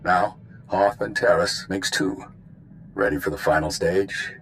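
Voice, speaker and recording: Low-voiced, grave spy commander. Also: male voice, measured pace, creaky, faint music behind. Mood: measured, commanding. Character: authoritative male intelligence commander, measured and grave. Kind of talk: video game voice acting.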